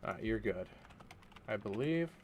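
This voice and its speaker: A man's voice: lowish voice, expressive, unhurried, darkish tone, slight background noise. A man hosting a game stream, casually checking everyone's audio over voice chat and reassuring the players. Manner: easygoing, reassuring. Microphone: online voice chat.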